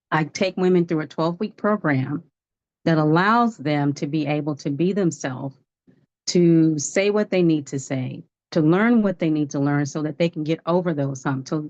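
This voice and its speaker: A woman in her fifties with a low voice tells her story as a guest, earnest and testifying, now and then laughing at herself. The sound is dry and a little dull, like a lo-fi online call. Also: darkish tone, no echo. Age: 50s. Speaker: guest life coach. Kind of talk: livestream testimony.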